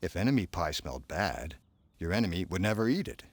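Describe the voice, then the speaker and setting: A man as a low-voiced, sly, secretive dad. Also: sing-song, a little fast, no echo, full character voice. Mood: secretive, assured. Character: boy's father, calm, sly, secretive adult man.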